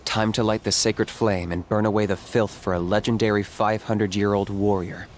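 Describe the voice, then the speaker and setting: A man plays a laconic young warrior from a video game. His voice is fairly low, and he speaks in a calm, measured, dry way. There is slight noise behind him. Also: close to an everyday voice. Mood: easygoing. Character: cool, laconic, philosophical young male warrior.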